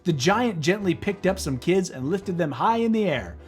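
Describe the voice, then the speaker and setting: Animated male children's storybook reader. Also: high voice, normal speed, faint music behind. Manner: dramatic, silly, playful. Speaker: comedian reading children's books aloud. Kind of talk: theatrical storybook narration.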